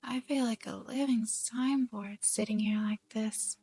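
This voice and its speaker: A woman acts a drowsy young anime student, timid and worn out. Her voice is fairly high, soft and breathy, and she speaks slowly and hesitantly over music in a close, dry recording. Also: fairly even intonation. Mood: weary, gentle. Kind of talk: anime game fan voice-over. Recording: background music.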